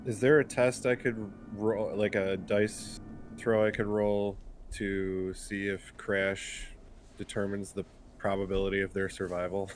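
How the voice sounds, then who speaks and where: Slow, flat-toned man at a game table. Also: on the low side, slight background noise. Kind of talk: casual game-table chat.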